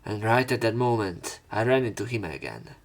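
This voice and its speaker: A man's voice: fairly low pitch, very animated, normal speed, faint music behind, poor audio. A man reading visual novel text aloud and breaking off for casual, sweary let's play commentary. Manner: casual, sweary interjections between read lines.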